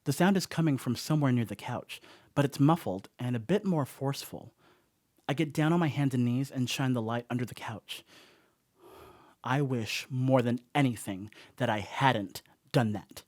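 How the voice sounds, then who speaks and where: An actor playing a rattled, wry slacker who rambles through a confession in a horror comedy radio play. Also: male voice, mid-range voice, normal speed, a little mellow, dry recording, studio quality, lightly acted. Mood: uneasy, wry.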